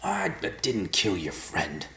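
A man voicing a menacing, irritable stranger in a story, with a gravelly voice and a slow, threatening manner. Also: sits low, huge pitch swings, bright, forceful tone, roomy sound, full character voice. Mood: irritated.

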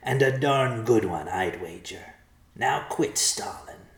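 A man's voice: lowish voice, animated, slow, roomy sound, voice pushed up. A man voicing a greedy, sly little bearded bandit in an audiobook-style story, with folksy rustic grammar and a cocky, wheedling manner. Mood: menacing, gloating.

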